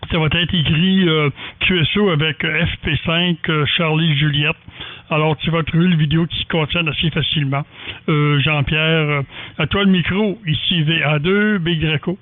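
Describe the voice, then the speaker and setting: A man's voice: fairly high pitch, steady tone, moderate pace, no room sound. A man with a Quebec French accent, an amateur radio operator chatting casually in a hesitant, rambling way over the radio. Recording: dry, thin phone-like radio sound.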